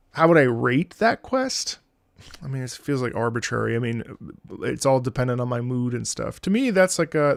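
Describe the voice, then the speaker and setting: A man's voice: mid-range voice, gravelly, no echo, studio quality. A man giving casual, off-the-cuff commentary on a game as a streamer, rambling and thinking aloud with enthusiasm.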